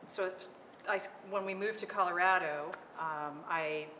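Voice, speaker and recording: A middle-aged woman from California, an artist on a panel, talking about her work with many hesitant pauses. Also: fairly low pitch, bright, forceful tone. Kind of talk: unscripted panel talk. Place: art gallery. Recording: echoey gallery with long reverb and noise.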